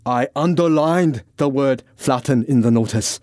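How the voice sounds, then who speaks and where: A man's voice: mid-range voice, unhurried, a little mellow, dry recording, studio quality, voice pushed up, close to an everyday voice. A man voicing a fussy, pedantic, exasperated caretaker in an audiobook story, easily wound up. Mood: pedantic, aggrieved.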